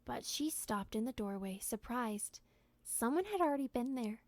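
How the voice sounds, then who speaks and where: A woman reading a story aloud in expressive narration, with a gentle, deliberate storytelling manner. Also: a little high, dry recording. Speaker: storybook reader.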